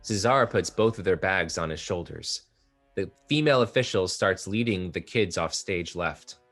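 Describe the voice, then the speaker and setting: A man narrating the stage directions of a play in a measured table-read style. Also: deep voice, fairly bright tone, faint music behind. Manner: measured, descriptive.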